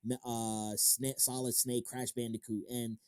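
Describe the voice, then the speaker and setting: A man's voice: mid-range voice, little pitch movement, unhurried, a little mellow, clear, resonant tone, no room sound, studio quality. A thirty-year-old man hosting an interview as a casual chat, informal and slangy with some casual swearing. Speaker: interview show host. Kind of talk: casual chat about games and films.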